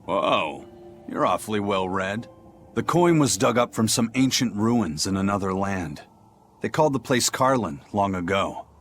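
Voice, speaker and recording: A man playing a gruff, worldly male spirit pirate in a video game, terse and matter-of-fact. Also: lowish voice, a bit bright, a little hiss or hum. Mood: knowing. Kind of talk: video game cutscene acting.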